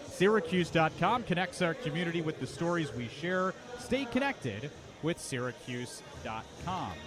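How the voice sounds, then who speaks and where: A man calling a baseball game live on radio as the play-by-play announcer, describing the action in detail. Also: high voice. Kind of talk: live radio sports commentary. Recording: clear outdoor ambient noise behind. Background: outdoor ballpark ambience.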